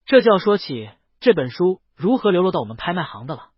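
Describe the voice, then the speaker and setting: Shrewd, teasing lord of an auction house male reader acting, measured delivery, animated pitch, slightly husky. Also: dry recording, highs cut off, full character voice. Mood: calm, advising.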